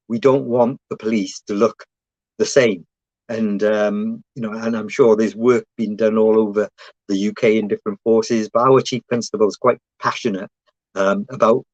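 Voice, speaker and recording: Guy on a video call panel, lowish voice, lots of ums, dry muffled sound. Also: dry recording. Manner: hesitant, many fillers and restarts. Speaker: police recruitment officer. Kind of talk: panel talk.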